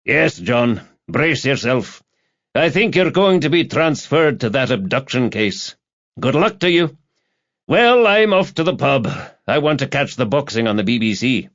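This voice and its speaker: A man voicing a hearty, chatty male police detective sergeant in an audiobook, with an animated, blokey manner. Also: mid-range voice, unhurried, muffled recording, pitched above the performer's own voice. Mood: chatty, teasing.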